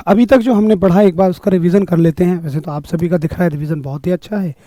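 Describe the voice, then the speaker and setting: Hoarse man teaching live. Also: fairly high pitch, mellow, no echo. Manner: greets viewers by name, upbeat coaching; frequently clears throat. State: hoarse, sore throat. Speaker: online exam-prep teacher. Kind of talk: live-streamed physics class.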